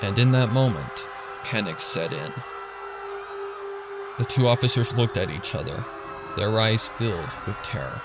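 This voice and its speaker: A man's voice: fairly low pitch, animated, measured pace, long pauses. A man reading a scripted story as a dramatic narrator. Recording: narration over music and sirens.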